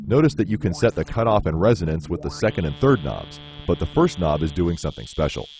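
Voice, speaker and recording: A man's voice: sits low, darkish tone. A man reading scripted promotional narration for a product demo in a polished, instructional way. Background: synthesizer music.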